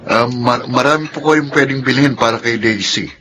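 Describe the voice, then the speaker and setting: An actor with a Filipino accent playing a blunt, offhand young son and brother in a radio drama. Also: male voice, mid-range voice, normal speed, pushed and bright, natural voice.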